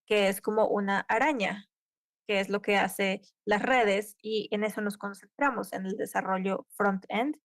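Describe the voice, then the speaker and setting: A woman's voice: mid-range voice, fairly even intonation, a little slow, no echo, clean studio sound. A woman interpreting a conference tech talk live, keeping pace with occasional cut-off restarts. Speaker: simultaneous interpreter.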